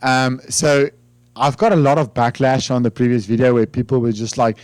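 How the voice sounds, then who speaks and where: A man's voice: medium pitch, steady tone, normal speed, a little mellow, no room sound. Hesitant South African man, dry sound. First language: Afrikaans. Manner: rambling, hesitant, many fillers and restarts. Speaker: finance YouTuber. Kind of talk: informal talk to camera.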